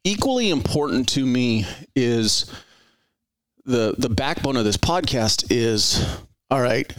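A man's voice: mid-range voice, animated, slow pace, clean tone, no echo. A middle-aged man hosting a podcast, talking earnestly in a rambling, conversational way with frequent restarts. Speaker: podcast host. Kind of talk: conversational interview.

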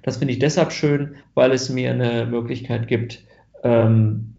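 A man's voice: medium pitch, steady tone, measured pace, gravelly, warm tone, echoey room, muffled recording. A German man answering interview questions about genetics research, thoughtful, with many fillers and restarts.

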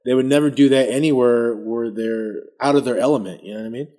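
A man's voice: medium pitch, fast, warm tone. A man in casual chat who talks emphatically and repeats himself for effect.

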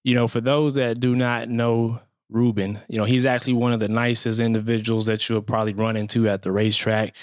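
Upbeat podcast host, dry close sound. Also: male voice, mid-range voice, flat delivery, a little fast, few pauses, no echo. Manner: upbeat, says "man" and "you know" a lot. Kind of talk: casual interview.

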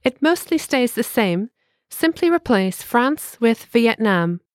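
Lively-voiced woman narrating a language lesson. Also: a little high, no room sound, clean studio sound. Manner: clear, measured, instructive. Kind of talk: scripted instructional narration.

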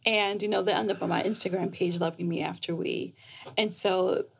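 Therapist guest, woman in her thirties, Jamaican-born, articulate, slightly husky. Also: lowish voice, fairly even intonation, moderate pace. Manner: articulate, explanatory, laughs easily. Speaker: psychotherapist guest. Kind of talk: casual podcast interview.

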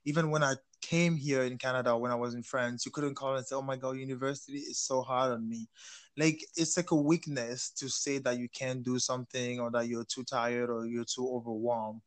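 A young man from Cameroon hosting a casual chat, animated and laughing often as he questions his guests. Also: mid-range voice, brisk, clear, resonant tone. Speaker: host.

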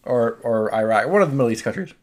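Rambling man, medium-pitched voice. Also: lively intonation, quick pace, a little mellow. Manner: rambling, hesitant, slangy. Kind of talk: casual anecdotal chat.

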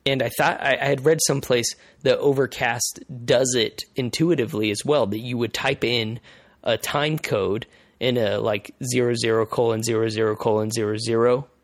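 Halting man with a creaky voice. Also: mid-range voice, steady tone, no room sound, studio quality. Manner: halting, repeats phrases while thinking aloud. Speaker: podcast host. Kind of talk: casual conversational explaining.

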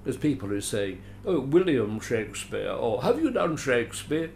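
A man's voice: mid-range voice, very animated, moderate pace, gravelly, darkish tone, slight background noise. An older Australian man, a veteran actor, reminiscing in a rambling, chatty way with dry self-deprecating asides. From Adelaide, Australia. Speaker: veteran actor, interview guest. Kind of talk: reminiscing conversational interview.

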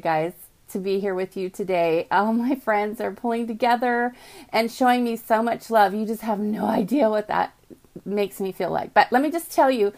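A woman hosting a live morning podcast from her home office, chatty and upbeat, greeting viewers by name, with a voice she calls calming, on a computer mic. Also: fairly high pitch. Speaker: podcast host. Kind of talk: casual live video chat.

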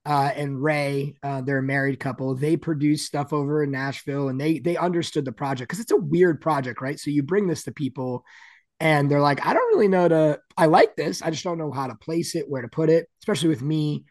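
A man with a deep, gravelly and raspy voice, talking loosely as a guest. His speech rambles, full of "like" and "you know". The sound is close and dry, with no room around it. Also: a little fast, a little mellow, dry recording. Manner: rambling, many "like" fillers. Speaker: guest musician. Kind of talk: casual interview chat.

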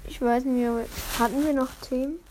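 A woman's voice: high-pitched, steady tone, brisk, a little mellow, slight background noise. A woman chatting casually as a podcast co-host, terse and hesitant. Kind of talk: casual chat.

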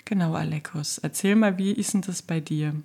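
A woman's voice: lowish voice, normal speed, dark tone. A woman from Austria, co-hosting a podcast and chatting in a friendly, upbeat way about her path. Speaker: podcast co-host. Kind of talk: casual podcast chat.

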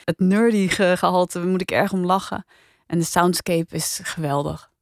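A woman's voice: on the low side, moderate pace, no echo. A woman hosting a casual podcast review chat, close to a studio microphone. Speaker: podcast host.

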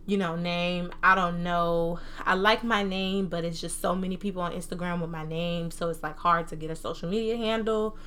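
A young woman with a bright, punchy voice that sits a little low, speaking in an American accent that blends New Jersey and the South. She talks in an animated, slangy, informal way, like a solo podcast host chatting casually. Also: moderate pace, few pauses, clear, resonant tone. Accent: American, New Jersey mixed with Southern. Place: own room at home.

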